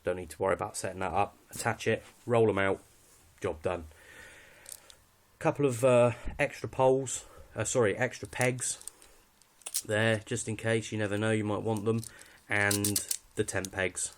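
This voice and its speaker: A man's voice: fairly low pitch, fairly even intonation, normal speed. A British man giving a casual, unscripted walkthrough of his kit in a matter-of-fact, slightly hesitant way. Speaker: hobbyist presenter showing radio kit.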